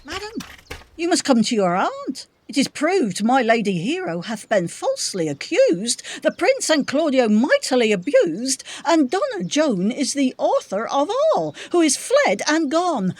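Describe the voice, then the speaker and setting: An actress playing an excitable, urgent gentlewoman messenger in a Shakespeare radio play. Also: high voice, unhurried, no echo, heavily acted. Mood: urgent, excited. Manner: urgent, animated. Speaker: actor in an audio Shakespeare play.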